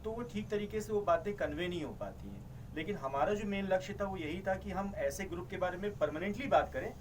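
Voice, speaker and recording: A man's voice: high-pitched, lively intonation, a little fast. Polite man speaking from a distance. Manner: polite, explanatory, addresses the interviewer as sir. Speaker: radio programming head. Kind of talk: interview answers. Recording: distant mic with noisy background.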